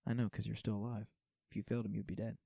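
A man's voice: on the low side, flat delivery, rapid-fire, vocal fry, warm tone, slightly rough, no room sound, studio quality. A man chatting casually and jokingly as he co-hosts a movie commentary. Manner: joking, laughs often. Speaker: co-host of a film watch-along commentary.

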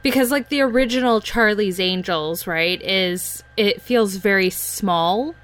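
A woman co-hosting a movie podcast, speaking at an everyday middle pitch. She sounds relaxed and agreeable, and she swears casually as she chats. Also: a little slow. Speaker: podcast co-host. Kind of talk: casual chat.

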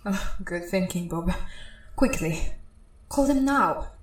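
A woman's voice: fairly low pitch, animated, takes it slowly, reverberant, poor audio. A woman playing an urgent, exasperated spaceship systems technician in a farcical audio comedy.

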